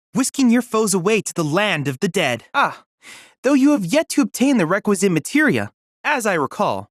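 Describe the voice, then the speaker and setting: A man's voice: sits high, a bit bright, no echo, clean studio sound. A man voicing a polite, eager boy android researcher in a video game, speaking in formal, articulate, bookish sentences. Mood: solemn, informative. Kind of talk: scripted video game character dialogue.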